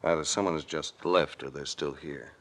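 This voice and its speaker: An actor playing a shrewd, calm, world-weary investigator with an American accent and a cool, deliberate delivery in an old radio drama. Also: male voice, very deep voice. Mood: cool deduction. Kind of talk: 1940s-50s radio drama acting. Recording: vintage radio recording.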